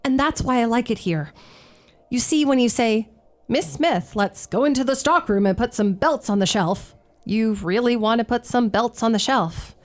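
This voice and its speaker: Woman playing a high-voiced, plucky shop girl. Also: moderate pace, lightly acted. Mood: coaxing. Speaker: actor. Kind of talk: radio theatre acting.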